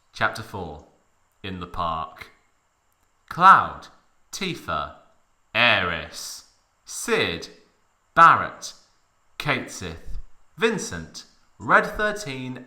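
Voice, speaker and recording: Male narrator for comic fanfic reading: sharp, forceful tone, hugely swinging pitch, hammy emphasis. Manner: theatrical, exaggerated emphasis. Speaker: narrator of a fan fiction reading. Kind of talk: mock dramatic reading with comic asides.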